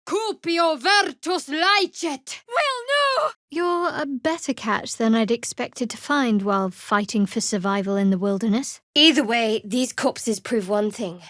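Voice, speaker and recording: An actress playing a guarded, sardonic young cleric of a dark goddess in a fantasy video game, with a dry, cool, wry delivery. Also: female voice, on the high side, expressive, a little slow, few pauses, no room sound. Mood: casting spells. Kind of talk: scripted fantasy game dialogue.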